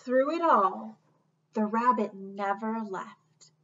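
Slow, bright woman reading a storybook. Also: fairly high pitch. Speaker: children's library storyteller. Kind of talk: picture book read-aloud. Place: small room indoors. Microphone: webcam at about arm's length. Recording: dull webcam sound, distant mic.

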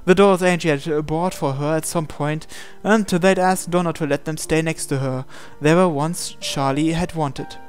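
Guy narrating a visual novel, kinda high voice, trips over lines now and then, faint music. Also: steady tone, moderate pace, hardly any pauses. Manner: stumbles and repeats phrases while reading. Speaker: gamer reading a visual novel aloud. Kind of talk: dramatic read-aloud narration with asides. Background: game music.

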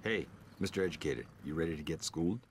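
Sneering rival race car, animated game; hurried, rambling, faint vehicle noise. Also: male voice, extremely low pitch. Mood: snide. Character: boastful, snide male rival race car. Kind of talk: animated video game acting.

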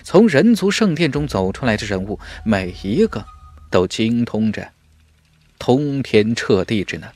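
A man's voice: medium pitch, very animated, unhurried, darkish tone. A man reading scripted dramatic narration as the narrator of a fantasy audiobook.

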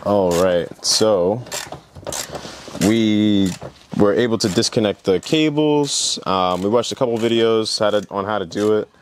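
A man's voice: on the low side, unhurried, a little hiss or hum. A young man talking casually and explaining hands-on work, as in a DIY car vlog, into a clip-on mic. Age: young adult. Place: indoor garage, partly inside car. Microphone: clip-on lavalier.

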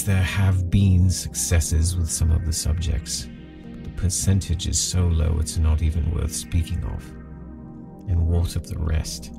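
Man acting a very deep, gravelly, brooding executive. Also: far from the mic, heavily acted. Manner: formal, measured, brooding. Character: grave, indignant male company executive. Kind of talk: scripted audio drama personal log.

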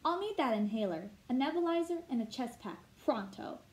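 A jolly storybook doctor, acted out by a woman, helpful and decisive. He speaks briskly in a fairly high voice. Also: lively intonation, low-quality recording. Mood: brisk, urgent.

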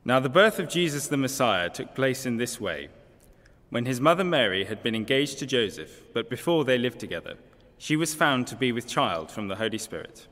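A man reads a lesson aloud in a formal, solemn way, measured in his delivery. His voice sits at a medium pitch and rings with the long reverb of a large church. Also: a bit bright. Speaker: lesson reader at a church carol service. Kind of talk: formal scripture reading.

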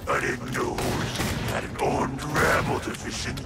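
An actor playing a menacing, theatrical mad scientist boss in a video game, full of sardonic taunts. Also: male voice, mid-range voice, flat delivery, measured pace, vocal fry, heavy background noise. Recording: game audio with gunfire and ambience.

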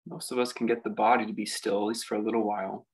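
Male meditation guide, gravelly and husky, contemplative delivery with lots of space between phrases. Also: on the low side, flat delivery. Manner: unhurried, reflective delivery with long pauses. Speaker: meditation teacher.

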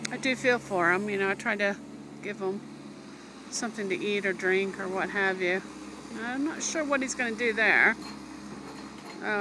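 A woman casually narrating a home renovation video while filming outdoors, chatty and full of "you know" fillers. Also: mid-range voice. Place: outdoors at a building site. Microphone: phone camera held by the narrator. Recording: outdoor phone video with loud machinery. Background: digger engine noise.

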